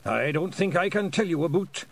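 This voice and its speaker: A man voicing a small, polite, proud pixie bard with a Scottish accent, rolled Rs and clear, careful speech. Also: fairly high pitch, animated, moderate pace, voice pushed up. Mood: guarded, solemn. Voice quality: rolled drum-roll Rs.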